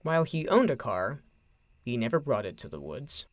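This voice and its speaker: A man narrating a scripted story in a measured, dramatic way, with deliberate phrasing. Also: mid-range voice, big pitch swings, no echo.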